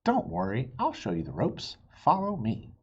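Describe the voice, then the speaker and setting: A man's voice: mid-range voice, huge pitch swings, moderate pace, breathy edge, dull audio, full character voice. A man voicing a friendly, reassuring waitress in a children's storybook, with a folksy, encouraging manner. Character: friendly, reassuring diner waitress, adult woman.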